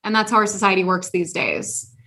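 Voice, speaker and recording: A young woman co-hosting a podcast, chatting casually in an animated, sarcastic way and often laughing. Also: mid-range voice, fairly quick. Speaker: podcast co-host. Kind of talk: casual chat.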